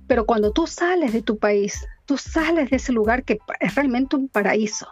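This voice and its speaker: A woman in her fifties with an Ecuadorian accent softened by Chilean touches, speaking a little high. She chats easily and warmly, repeating herself and laughing often. Faint music plays underneath. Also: moderate pace. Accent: Ecuadorian Spanish with Chilean touches. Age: 50s. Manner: warm, chatty, laughs often, repeats words. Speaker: guest. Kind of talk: casual interview chat.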